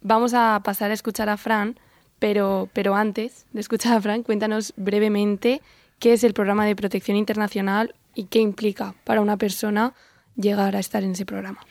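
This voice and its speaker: A woman co-hosts a panel, asking her guests questions gently and attentively. Her voice stays flat and even, heard close and dry. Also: medium pitch, normal speed, no room sound. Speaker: host. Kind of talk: interviewing on a panel.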